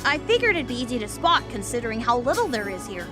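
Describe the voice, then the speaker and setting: A woman acts a young swordsman boy for an anime-style audio drama. The voice is high and lively, yet casual and unflappable, brushing things off lightly. Faint music sits behind it. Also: quick pace. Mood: dismissive, offhand.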